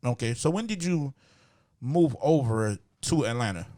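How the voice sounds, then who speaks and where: A man's voice: mid-range voice, animated, moderate pace, mellow. A man hosting a casual podcast interview, talking informally and talking up his guest. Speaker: podcast host.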